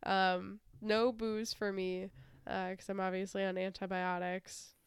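Nasal woman podcast host, sinus stuffed up, super clear voice, dry close mic, laughs and swears a lot. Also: medium pitch, flat delivery, unhurried, no room sound. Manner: chatty, laughs often, swears casually. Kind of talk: casual podcast chat.

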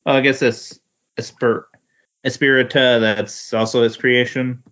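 A man chatting casually as a podcast co-host, tentative and halting as he reacts. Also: medium pitch, little pitch movement, a bit bright. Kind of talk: casual chat. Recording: dull lo-fi voice recording.

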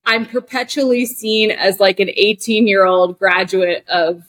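A woman's voice: mid-range voice, measured pace, fairly bright tone, low-quality recording. A woman of forty, a guest on a podcast, talking candidly as an actor and director, searching for words with many fillers and restarts. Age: 40s.

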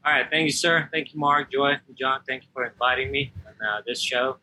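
A young man, a podcast guest telling his life story in an earnest, halting way. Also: mid-range voice, steady tone, bright, forceful tone, slight background noise, low-quality recording. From Afghanistan. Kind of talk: personal storytelling.

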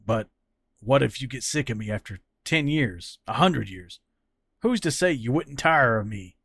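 A man's voice: mid-range voice, animated, unhurried, slightly rough, no room sound, clean studio sound, exaggerated voice. A man voicing a furious, frightened partner. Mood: angry anxious. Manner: angry, raised and forceful.

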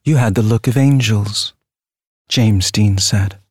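A man playing an obsessive fan in a theatrical audio drama, fawning and needling with drawn-out phrasing. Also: lowish voice, a little mellow, breathy edge, dry recording, clean studio sound. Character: gushing, needling obsessive fan of a dead star.

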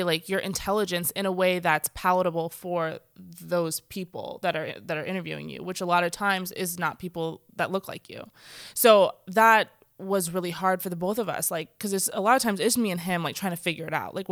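A woman's voice: on the low side, bright, forceful tone, no room sound, clean studio sound. A woman talking in a casual conversation, chatty and full of filler words, acting out quoted speech. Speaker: guest speaker. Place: church stage.

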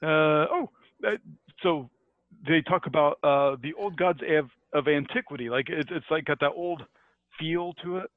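A man's voice: on the high side, normal speed, no room sound, phone-quality sound. An American man co-hosting a casual podcast about a book, chatty and laughing often, with frequent restarts. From United States. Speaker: podcast co-host. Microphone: headphones and mic.

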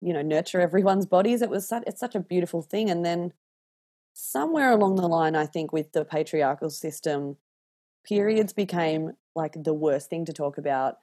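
An Australian woman in her thirties chatting casually and candidly, telling animated stories and swearing freely. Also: fairly low pitch, normal speed. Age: 30s. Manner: animated, candid storyteller who swears freely and acts out voices. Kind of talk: casual podcast chat.

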